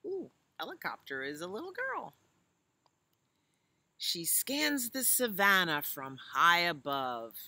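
Bright-voiced older American woman, unhurried storyteller. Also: lowish voice, sing-song, dry recording. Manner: simple, explanatory, asking questions of young listeners. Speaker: children's librarian reading storytime. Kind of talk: reading a picture book aloud to young children, with chatty asides. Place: outdoors in a leafy garden.

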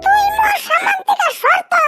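A man voicing a scornful, hot-tempered young woman in a cartoon, scolding in a northern Bengali accent with a pitch-raised effect. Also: fairly even intonation, moderate pace, loud music behind the voice. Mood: scornful, angry. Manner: shrill, scolding, insulting.

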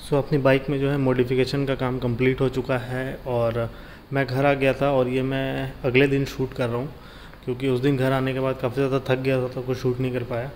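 A man's voice: mid-range voice, little pitch movement, fairly quick, a little mellow. A man with an Indian accent narrating a casual vlog, informally mixing English phrases into his speech. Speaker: motorcycle vlogger. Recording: clear background noise, ambient sound.

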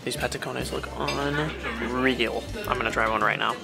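A man's voice: mid-range voice, steady tone, normal speed, creaky, loud music behind the voice. A young man co-hosting a travel food vlog, easygoing and enthusiastic, talking to a handheld camera in a car and a small restaurant. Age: young adult. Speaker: travel vlogger co-host. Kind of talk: casual vlog chat.

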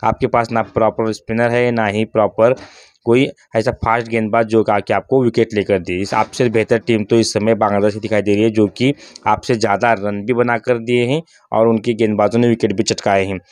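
A man's voice: fairly low pitch, little pitch movement, a little fast, darkish tone, dry recording, studio quality. A man commenting on cricket as a channel host, in a critical, opinionated monologue with rhetorical questions.

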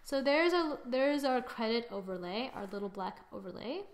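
Young adult female tutorial presenter, higher-pitched, informal, thinks out loud while working. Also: moderate pace. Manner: informal, thinking aloud, muttering asides and sing-song filler. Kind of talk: casual screen-recorded software tutorial. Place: indoors, voice-over to a screen recording.

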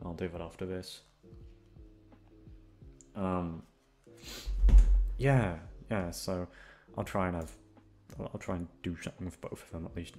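Low-voiced, rambling young man over music. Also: a little mellow. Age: young adult. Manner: hesitant, self-deprecating, sweary. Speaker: audio roleplay voice actor chatting to listeners. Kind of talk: rambling casual chat to listeners.

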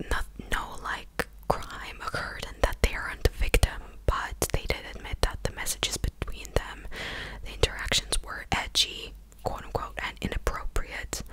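A young adult woman hosting an ASMR video, talking casually in a soft whisper close to the microphone. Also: poor audio. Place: small living room, indoors. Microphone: large desktop microphone, very close.

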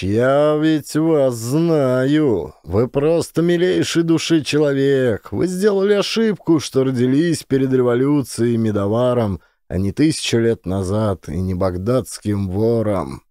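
A brash, boozy sports instructor played by a male narrator in an audiobook. He banters with breezy familiarity in a mellow, dark-toned voice. The recording is dry and close. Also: medium pitch, expressive, measured pace, hardly any pauses, no room sound, close to an everyday voice. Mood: breezy, teasing. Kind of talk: acted audiobook dialogue.

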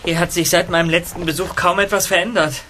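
An actor playing an easily spooked young detective in a German audio drama, hesitant and nervous. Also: male voice, sits high, steady tone, fast, heavy background noise, close to an everyday voice. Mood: uneasy.

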